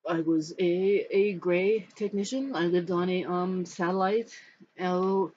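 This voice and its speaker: An American in their fifties from Los Angeles, giving a personal talk to camera in a reflective, halting, rambling manner. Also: medium pitch, fairly even intonation, darkish tone. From Los Angeles, United States. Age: 50s. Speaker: spiritual storyteller. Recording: dull, muffled sound with background noise.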